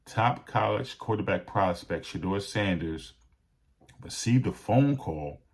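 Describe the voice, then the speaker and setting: Give the host a low-pitched male voice. He talks casually to his viewers about sports, picking through his points in a deliberate, halting manner. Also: darkish tone.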